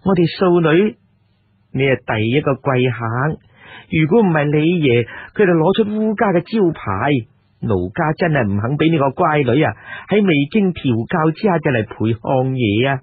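A man's voice: fairly high pitch, sing-song, moderate pace, slightly rough, no echo. A man voicing a fawning, coquettish middle-aged brothel madam in a storytelling recording, gushing and wheedling. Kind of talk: Cantonese audiobook storytelling. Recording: lo-fi, narrow phone-like radio sound.

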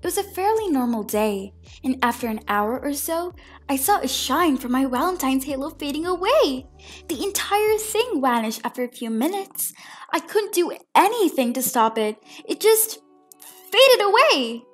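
Glamorous lady boutique owner, played by a woman, high animated voice, dismayed, amateur cartoon interview. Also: normal speed, soft background music. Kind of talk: scripted witness interview in amateur drama.

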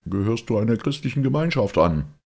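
A man acts out a pious old Quaker sea captain for an audiobook. He is stern and suspicious, with a raised, swooping pitch and a gravelly edge. He questions people in deep earnest. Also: expressive, brisk, darkish tone. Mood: suspicious, scolding. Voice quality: hollow. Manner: solemn, deadly earnest, interrogating.

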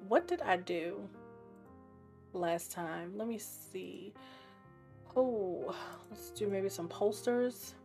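A woman with a raspy voice and a sore, scratchy throat, chatting casually while narrating her gameplay and thinking aloud. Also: mid-range voice, expressive, extremely slow, long pauses, darkish tone, soft background music. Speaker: game streamer.

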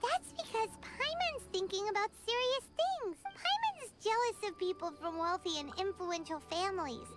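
A woman voicing a tiny, chirpy, greedy female fairy companion in a video game, who talks about herself in the third person. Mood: pensive. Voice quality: high-pitched, childlike, refers to herself in third person. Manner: bubbly and excitable, with quick swings into pouting. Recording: game audio with loud background music.

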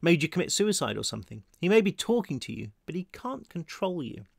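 A questioning teenage boy , as a male audiobook reader plays him, snappy and emphatic. The pitch lifts on his questions, and the sound is close and dry. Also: sing-song, fairly quick, creaky, no echo, heavily acted. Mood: uneasy, reasoning.

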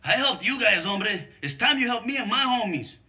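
An actor playing an excitable, pushy barrio gangster in a video game, with a Chicano accent and urgent, slangy delivery. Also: male voice, very high voice, moderate pace, heavily acted. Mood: urgent, pleading. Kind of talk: animated game cutscene dialogue with Spanglish slang.

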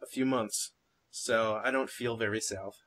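A man's voice: on the low side, steady tone, unhurried, a loud crowd. A man with a New Jersey accent hosting a casual gaming podcast, rambling through fillers and restarts. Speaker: podcast host.

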